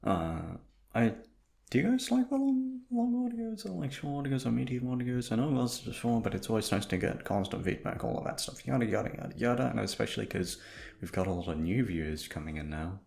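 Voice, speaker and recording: A man with a deep voice, sleepy, rambling casually to his listeners in an offhand, hesitant, sweary way. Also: expressive, a little fast, gravelly, darkish tone. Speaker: audio creator talking to listeners.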